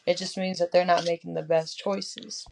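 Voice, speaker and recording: A woman's voice: lowish voice, level tone, moderate pace, gravelly, darkish tone. A woman in her twenties, a podcast guest, talking in a reflective, upbeat, casual way with many fillers. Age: 20s. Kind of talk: casual interview chat.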